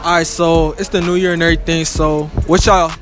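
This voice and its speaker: A man's voice: a little high, flat delivery, fairly quick, soft background music. Playful young man interviewing in a mall. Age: young adult.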